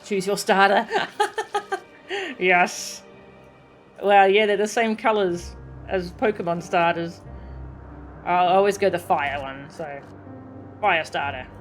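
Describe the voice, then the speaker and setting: A woman's voice: lowish voice, steady tone, slow, pauses often. A woman streaming a video game with casual, chatty commentary. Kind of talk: casual game commentary, reading dialogue aloud. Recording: game music behind the voice. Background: video game music.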